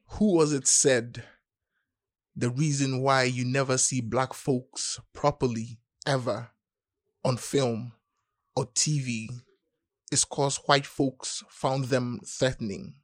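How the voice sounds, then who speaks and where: A man reading poetry aloud deliberately, pausing phrase by phrase. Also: mid-range voice, steady tone, no room sound, studio quality. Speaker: poetry reader.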